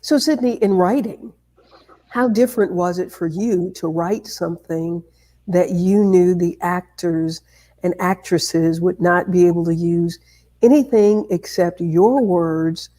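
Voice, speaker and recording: A woman hosting a conversational interview, putting her questions in a measured, deliberate way. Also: fairly low pitch, mellow. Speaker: interview host.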